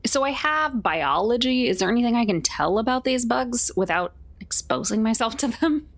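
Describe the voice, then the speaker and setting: Girl at a game table, bit high pitched, super up and down, playful, dry recording. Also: expressive, normal speed, no room sound. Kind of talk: casual game-table chat.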